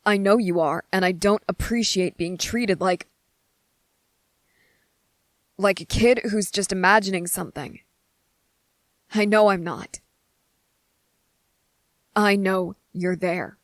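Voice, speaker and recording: A woman voicing a guarded, defiant young boy with deliberate, halting, serious delivery in an ASMR roleplay audio drama. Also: mid-range voice, fairly even intonation, no echo, close to an everyday voice. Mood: insistent, frustrated.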